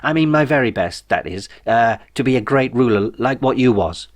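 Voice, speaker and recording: A man voicing a timid, dim, eager young son of an evil overlord, earnest and halting. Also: medium pitch, lively intonation, close to an everyday voice. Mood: nervous, earnest.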